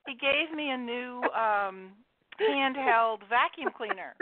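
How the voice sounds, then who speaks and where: A woman's voice: on the high side, slow pace, no echo. Chatty woman guest on a phone line. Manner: chatty storyteller, laughs at herself. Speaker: guest author. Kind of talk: casual radio interview chat. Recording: dry, tinny phone line.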